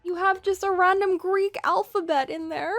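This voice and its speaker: A woman's voice: very high voice, steady tone, moderate pace, fairly bright tone, no echo, faint music behind. A woman casually livestreaming a visual novel, chatting and thinking aloud as she goes. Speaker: streamer playing a visual novel. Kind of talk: casual livestream chat and reading game text aloud.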